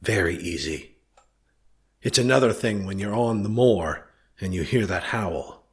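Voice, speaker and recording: An earnest, deep-voiced young heir, Sir Henry. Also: male voice, animated, measured pace. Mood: brooding. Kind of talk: audio drama acting.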